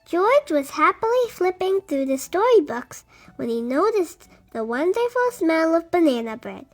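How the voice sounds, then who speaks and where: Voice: very high-pitched, sing-song, measured pace, faint music behind. A storybook reader narrating a picture book expressively for young children.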